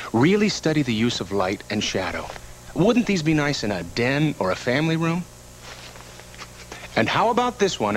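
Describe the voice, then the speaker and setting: Low-voiced TV shopping salesman, played by an actor. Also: a bit bright, slight background noise, close to an everyday voice, poor audio. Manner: salesy, enthusiastic, lingering on praise. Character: earnest, smooth-selling male TV shopping channel host. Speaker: home shopping presenter. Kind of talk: parody TV shopping sales pitch.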